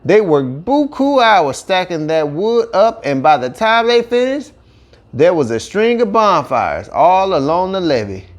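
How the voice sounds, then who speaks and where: Animated Cajun man telling a folksy story. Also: high-pitched, a little slow. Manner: colloquial, animated dialect delivery. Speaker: audiobook narrator.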